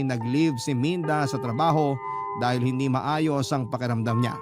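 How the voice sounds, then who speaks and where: High-voiced man narrating over music. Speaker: radio drama narrator. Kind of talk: first-person dramatic story narration.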